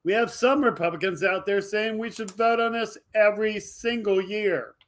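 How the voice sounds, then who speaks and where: Higher-pitched man in fired-up political monologue. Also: moderate pace, a bit bright. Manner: emphatic, fired up, addressing viewers directly. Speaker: video podcast host. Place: indoor home studio with green screen.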